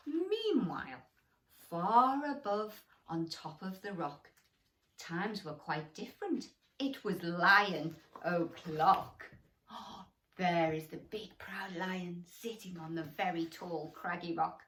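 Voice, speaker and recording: Female bedtime storybook reader for small children: slow, expressive, gently encouraging, recorded in an echoey room at a distance. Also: on the low side. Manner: animated, gently encouraging, addressing children directly. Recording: roomy echo, distant microphone.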